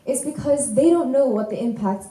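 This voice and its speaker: A woman's voice: high voice, normal speed, warm tone. A teenage girl giving a rehearsed student presentation at a conference. She speaks earnestly and sometimes stumbles and corrects herself. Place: conference hall. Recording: roomy hall echo.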